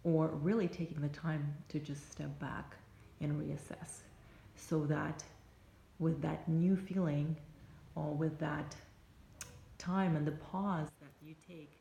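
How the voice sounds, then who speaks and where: A native Russian-speaking woman with a Russian accent, giving reflective advice to camera in a halting, thoughtful way with pauses mid-sentence. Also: low voice, steady tone, vocal fry, warm tone. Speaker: life coach and vlogger. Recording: roomy echo, faint background noise.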